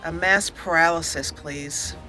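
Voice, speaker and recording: A woman's voice: deep voice, steady tone, unhurried, music in the background, low-quality recording. A woman hosting a let's play with casual gameplay commentary, chatty and thinking aloud.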